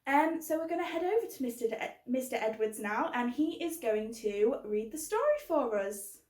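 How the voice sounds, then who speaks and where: High-voiced woman hosting, in an echoey room. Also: animated, moderate pace, a little mellow. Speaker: children's book club host. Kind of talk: scripted-sounding introduction for young children. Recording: roomy, echoing indoor sound.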